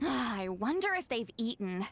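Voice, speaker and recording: A woman voicing a brisk, bossy, grumbling young female guardian in a fantasy video game. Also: a little high, big pitch swings, slight background noise. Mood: fretful. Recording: game audio with music behind.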